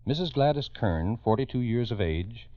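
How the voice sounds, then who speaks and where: A man's voice: medium pitch, lively intonation, normal speed, dry recording, dull audio. A man narrating a 1940s radio crime program in a grave, emphatic, urgent manner on an old recording. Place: radio studio.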